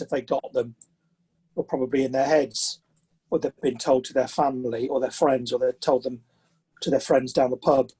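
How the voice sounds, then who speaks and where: A man's voice: mid-range voice, warm tone, husky. A man of sixty from the south of England with a southern English accent, chatting and telling anecdotes as a podcast interview guest. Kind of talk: conversational interview storytelling.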